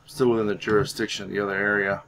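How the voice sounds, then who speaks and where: A man's voice: fairly low pitch, level tone, very fast, fairly bright tone, a little hiss or hum. A man giving gameplay commentary as roleplay, musing aloud in character. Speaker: gamer playing in character.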